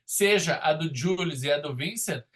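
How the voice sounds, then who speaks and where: A man's voice: on the high side, animated, normal speed, fairly bright tone. A man with a Brazilian accent co-hosting a film podcast, talking casually in an enthusiastic, rambling way.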